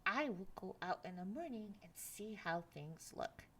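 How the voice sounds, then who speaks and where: A woman's voice: mid-range voice, lively intonation, unhurried, lightly acted. A woman voicing a stern old mother figure, the North Wind, who scolds her son firmly.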